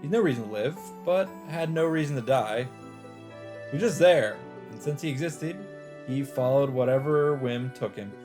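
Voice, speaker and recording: A man with a mid-pitched voice reads visual novel narration aloud as a let's play host. He delivers it as dramatic storytelling, with game music softly behind him. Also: lively intonation, normal speed.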